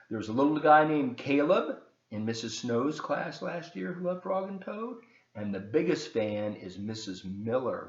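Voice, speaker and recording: A man talking to children as a volunteer storybook reader, explaining things in an animated, unhurried, folksy way. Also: medium pitch. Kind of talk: talking to children and reading a picture book aloud. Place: at home, partly in a living room. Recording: roomy, slightly muffled home video.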